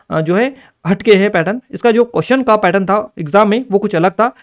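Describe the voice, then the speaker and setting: High-voiced man teaching. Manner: instructive, repeats points and addresses students directly. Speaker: exam-prep teacher. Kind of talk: explanatory exam review lecture, partly read out.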